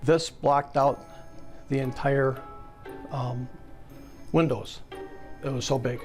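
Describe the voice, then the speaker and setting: A man's voice: medium pitch, fairly even intonation, slow pace, faint music behind. A man in his fifties casually reminiscing as an interviewee. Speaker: interviewee, collector's son.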